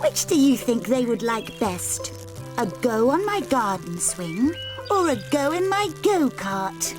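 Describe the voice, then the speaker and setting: A woman's voice: mid-range voice, very animated, measured pace, creaky, over music, voice pushed up. Woman voicing a bubbly adventurous girl. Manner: bubbly, enthusiastic. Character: cheerful adventurous young girl.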